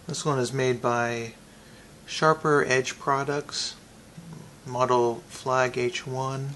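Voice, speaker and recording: A man giving a casual, unscripted product review, thinking aloud in a halting way with pauses. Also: mid-range voice, flat delivery, a bit bright, a little hiss or hum.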